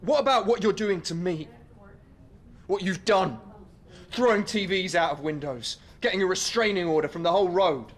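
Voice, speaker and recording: An actor playing a young man sectioned in a psychiatric hospital, speaking haltingly and stammering under medication. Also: sits high, close to an everyday voice. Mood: angry. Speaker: psychiatric patient. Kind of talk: radio play acting.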